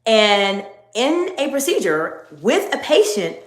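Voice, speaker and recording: Measured woman coaching in an echoey room. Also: fairly high pitch, very animated. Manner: measured, emphatic teaching tone. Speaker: executive coach. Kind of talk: live-stream talk to viewers.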